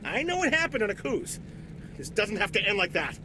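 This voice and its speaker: An actor playing an agitated, menacing corporate villain who is cornered and volatile, in a video game. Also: male voice, sits high, big pitch swings, brisk, background noise. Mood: tense, threatening. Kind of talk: dramatic video game acting.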